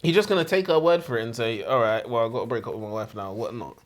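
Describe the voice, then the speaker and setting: A man's voice: medium pitch, quick pace. A man co-hosting a casual book discussion, posing questions in an irreverent, joking way. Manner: questioning, crude joking banter. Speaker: co-host of a book review show. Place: warm room, seated in comfy chairs.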